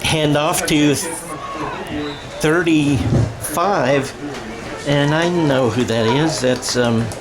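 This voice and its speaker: A man's voice: a little high, very slow, long pauses, low-quality recording. A man doing radio-style sports play-by-play in a conversational way, as if thinking aloud. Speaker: play-by-play commentator. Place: high school football game. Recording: crowd and music behind. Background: stadium crowd and music.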